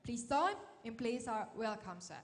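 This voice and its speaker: A woman's voice: on the high side, lively intonation, measured pace, very noisy. A woman with an Indonesian accent formally announcing as master of ceremonies at an event. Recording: reverberant hall sound system.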